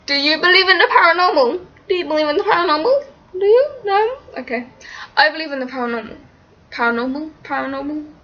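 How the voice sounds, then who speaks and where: A young English woman with a bright, high voice chats to her webcam, giggly and easily sidetracked. Her Liverpool roots barely show in her accent. The sound is dull and lo-fi, as from a cheap built-in camera mic in a small room. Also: lively intonation, fast talker. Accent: English, little Liverpool. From England, born in Liverpool. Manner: giggly, scatterbrained, talks to her cat in baby talk. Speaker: vlogger answering viewer questions. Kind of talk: casual webcam Q&A chat. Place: small indoor room, seated against a wall. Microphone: webcam at arm's length.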